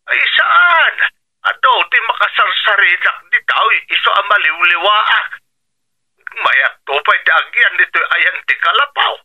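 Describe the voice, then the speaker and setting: An easygoing Ilocano country husband who teases and reassures. His voice is high and unhurried, with a slightly dull lo-fi sound, in radio drama style. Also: very animated, exaggerated voice. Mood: teasing, reassuring.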